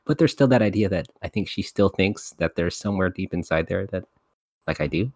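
A man hosting a casual podcast, animated and quick to laugh as he talks. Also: sits low. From United States. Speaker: podcast host.

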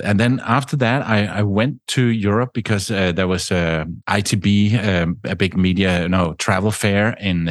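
A man talking casually about travel, halting and often repeating his words. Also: on the low side, no room sound, studio quality. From Denmark.

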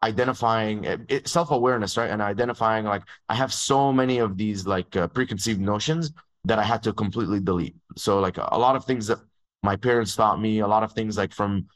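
A young man from Dubai, telling his agency story in a fast, casual way full of "like"s. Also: on the low side. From born in Egypt, grew up in Dubai. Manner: fast, filler-heavy talk with many "like"s, acts out dialogue. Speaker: agency founder, guest.

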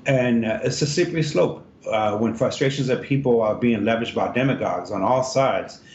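A man from Ethiopia, a congressional candidate, answering interview questions in a halting way with many fillers and restarts. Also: darkish tone. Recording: roomy, dull remote line with faint rumble.

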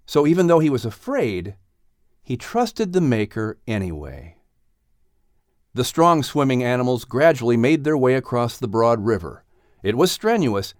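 Male narrator for children's story. Animated melody, medium pitch, close studio-dry sound. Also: no room sound. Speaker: audiobook narrator.

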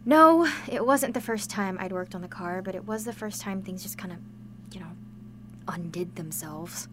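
A woman's voice: mid-range voice, normal speed, slight background noise, close to an everyday voice. A woman playing a frustrated, self-doubting teenage girl mechanic in a radio drama, sardonic and hesitant. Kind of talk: naturalistic radio drama acting.

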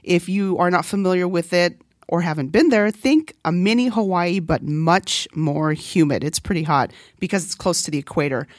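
Chatty woman host from Guam, low-pitched. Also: normal speed, hardly any pauses, dry recording, studio quality. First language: Korean. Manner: chatty, laughs often. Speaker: podcast host. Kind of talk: personal storytelling monologue.

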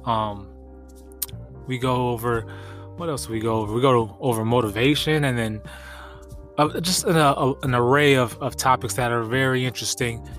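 A man's voice: medium pitch, normal speed, fairly bright tone, faint music behind. A congested man hosting a podcast in casual, slangy, conversational talk. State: congested from allergies. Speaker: podcast host.

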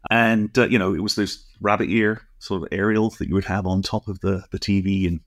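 A middle-aged or older British man co-hosting a casual podcast, explaining things in a halting, discursive way with frequent asides. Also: low voice, fairly even intonation, dry recording, clean studio sound. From United Kingdom. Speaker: podcast co-host.

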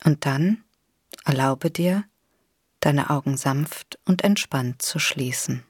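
Very slow, low-pitched woman guiding meditation. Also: slightly rough, dry recording, studio quality. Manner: soothing, gentle, unhurried with long pauses. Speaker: guided meditation instructor. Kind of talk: guided imagery relaxation narration.

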